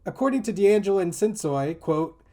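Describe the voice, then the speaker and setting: A man with a slightly high voice hosts a group discussion. He reads from an article aloud and breaks in with his own commentary, often incredulous and mocking. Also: expressive, fairly quick, warm tone. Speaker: host reading an article aloud.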